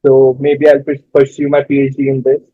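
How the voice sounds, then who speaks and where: Young guy introducing himself, lots of uh and like, flat voice, kinda muffled. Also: medium pitch, fairly quick, no echo. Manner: hesitant, filler-heavy. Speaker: workshop participant. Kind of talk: self-introduction.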